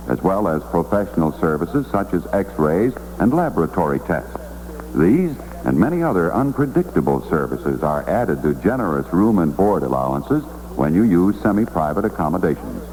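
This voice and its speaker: A low, mellow-voiced man narrates a scripted 1960s radio commercial. His pitch moves in a lively way. The old broadcast recording carries a faint hum. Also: rough recording. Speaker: advertisement announcer.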